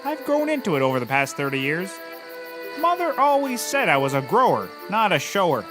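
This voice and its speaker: An actor playing a middle-aged, self-important and bumbling private detective in a scripted comedy. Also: male voice, high-pitched, huge pitch swings, brisk, music in the background. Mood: proud narrating. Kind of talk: scripted comedy acting and narration.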